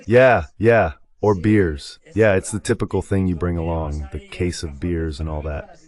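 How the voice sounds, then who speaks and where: A man's voice: sits low, fairly even intonation, unhurried, a little mellow. A man as a talk show guest in a voiced-over translation, giving conversational political commentary.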